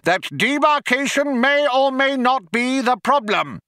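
A man's voice: very high voice, steady tone, moderate pace, sharp tone, no room sound, studio quality, pitched above the performer's own voice. A man voicing a hotheaded, absurdly demanding young philosopher, bawling in a pompous, overexcited way. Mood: outraged, bawling.

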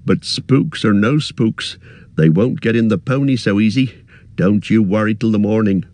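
A man's voice: fairly low pitch, normal speed, darkish tone, no echo, close to an everyday voice. A man reading aloud, voicing a fussy, anxious middle-aged male innkeeper who chatters in a rustic way. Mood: earnest.

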